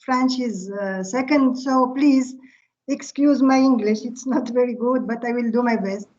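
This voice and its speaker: A woman from Tunisia, an Arabic speaker, giving a workshop lecture over a video call, halting and pausing often with fillers. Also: a little high, a little mellow. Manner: halting, with many filler pauses. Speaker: visiting lecturer. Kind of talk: online workshop presentation. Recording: muffled video-call audio.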